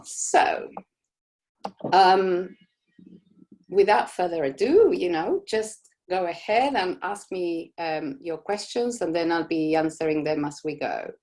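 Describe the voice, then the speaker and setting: Chatty woman from Argentina, lowish voice. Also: slow pace, low-quality recording. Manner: warm, chatty, many "you know" fillers. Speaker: host of a live Q&A for business owners. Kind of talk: casual live Q&A session.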